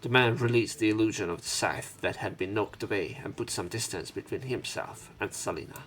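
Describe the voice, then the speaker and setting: A man's voice: fairly low pitch, fairly quick, fairly bright tone, rough recording. A man reading a visual novel aloud as a let's play narrator, dramatic in the story parts and casual in his commentary. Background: game music.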